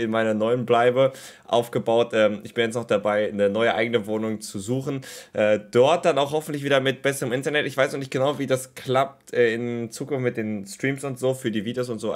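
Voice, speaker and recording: A young man with a slight cold-hoarseness, chatting casually through a gaming video from a small temporary home setup with lots of filler sounds. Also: mid-range voice. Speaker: gaming YouTuber.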